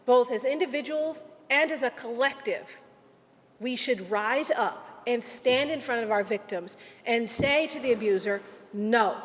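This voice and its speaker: A woman's voice: fairly high pitch, fairly bright tone. Earnest woman speaking in a hall. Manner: earnest, deliberate, with measured pauses. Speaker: legal aid attorney. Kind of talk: prepared ceremonial speech. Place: large hall at a ceremony.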